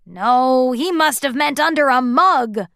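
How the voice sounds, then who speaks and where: A woman's voice: sits high, lively intonation, slow, gravelly, a bit bright, no echo, studio quality, full character voice. A woman voicing an irritable, bossy male dwarf in a storybook, speaking emphatically. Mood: dismissive.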